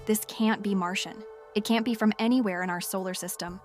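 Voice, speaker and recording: Actress as woman scientist doing a lab log, kinda scared and obsessed, halting and intense, bit of music behind. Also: mid-range voice, fairly even intonation, darkish tone, lightly acted. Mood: feverish fascination. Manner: hesitant, confiding, intense. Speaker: voice actor in audio drama. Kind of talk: dramatic monologue as spoken diary. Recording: music under the voice.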